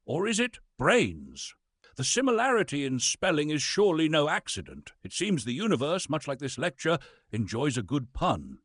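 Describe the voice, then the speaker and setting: Man acting as grand theatrical thespian lecturer, pompous and sardonic, swooping intonation, husky tone, dramatic pauses. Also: a little high, wildly swooping pitch, fairly bright tone, no echo, clean studio sound, exaggerated voice. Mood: arch, amused. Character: pompous Shakespearean actor lecturing on physics, theatrical and waspish. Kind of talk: theatrical comic lecture, scripted narration.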